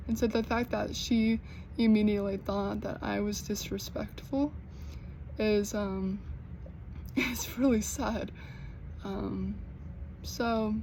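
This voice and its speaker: A young American woman who stutters, with repeated sounds and blocks, talking slowly and earnestly in a personal vlog. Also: mid-range voice, vocal fry, slight background noise, poor audio. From United States. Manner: slow, earnest, gently encouraging. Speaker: vlogger.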